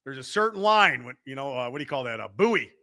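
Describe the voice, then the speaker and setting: A man with a Chicago accent hosting a live show, loud and profane, bantering and reading out the chat. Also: mid-range voice, sing-song, quick pace. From Midwestern United States. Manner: loud, rowdy and profane, with bursts of laughter.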